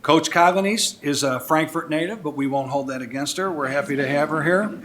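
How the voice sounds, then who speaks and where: A man's voice: on the high side, brisk, a bit bright, slight background noise. A man in his sixties with an American accent giving a public recognition speech as a mayor, partly reading, with informal, folksy asides. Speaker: mayor presiding over a village board meeting. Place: indoor village board meeting room.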